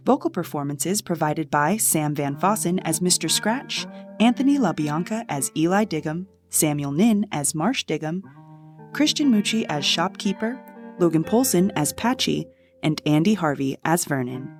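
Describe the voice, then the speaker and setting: A woman's voice: sits low, darkish tone. A woman reading scripted podcast credits and an outro as the show's announcer. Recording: guitar music behind the voice.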